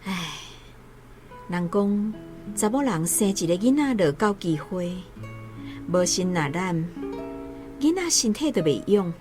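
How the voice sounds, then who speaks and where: A woman playing a hardworking mother of many children, scolding yet tender, in a radio drama with a Taiwanese accent. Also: medium pitch. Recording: guitar music under the voice.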